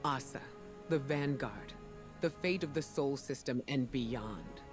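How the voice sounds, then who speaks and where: A man's voice: mid-range voice, slow, close to an everyday voice. A man voicing a grave, steadfast ally who gives a solemn, earnest mission briefing in a video game. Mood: solemn urgency. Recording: studio voice under prominent music.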